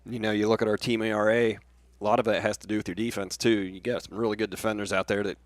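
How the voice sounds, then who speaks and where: Male baseball color commentator, casual analyst; quick delivery, little pitch movement. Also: flat delivery, no room sound.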